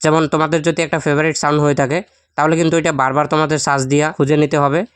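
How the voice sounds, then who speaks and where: A man races through a how-to voice-over at a very fast pace. His delivery stays level with little melody, and his voice is clean and smooth. He speaks straight to viewers, guiding them step by step. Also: fairly high pitch, flat delivery, no echo. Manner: addresses viewers directly, step by step. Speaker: YouTube tutorial host. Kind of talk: instructional screen-recording voice-over.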